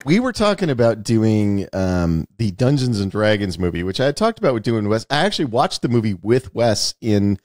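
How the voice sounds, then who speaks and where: Middle-aged American male podcast host, low register, very dry close sound, rambling casual chat with the odd swear. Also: sing-song, moderate pace, few pauses, darkish tone, no room sound. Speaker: screenwriter and author.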